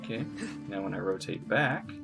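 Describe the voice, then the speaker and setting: Very deep, gravelly man reacting to gameplay. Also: lively intonation, moderate pace. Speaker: streamer reacting to a game. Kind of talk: casual live gameplay reaction. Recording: loud game music behind.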